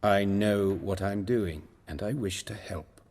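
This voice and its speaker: An actor playing an erudite, steely surgeon in a video game, speaking formally and precisely in a husky low voice. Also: male voice, unhurried, darkish tone, big-room sound. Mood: assertive, cool. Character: composed, erudite male surgeon with steely resolve.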